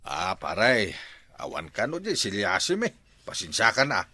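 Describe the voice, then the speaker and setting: Lively, bright Ilocano husband. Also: male voice, lowish voice, normal speed, dry recording. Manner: bickering, exclaiming. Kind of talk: comedy drama acting.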